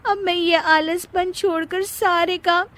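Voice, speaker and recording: Woman as lazy young Indian bahu, cartoon story, bright sharp high voice, dismissive whine. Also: steady tone, slow pace, exaggerated voice. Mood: sheepish. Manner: whiny, dismissive, procrastinating. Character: lazy, whiny young Indian daughter-in-law. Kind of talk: Hindi cartoon story voice acting.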